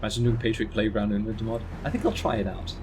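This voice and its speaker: A man's voice: lowish voice, quick pace, noisy background. A man from the Netherlands giving casual live commentary while streaming a driving game, chatting with viewers and laughing at his own crashes.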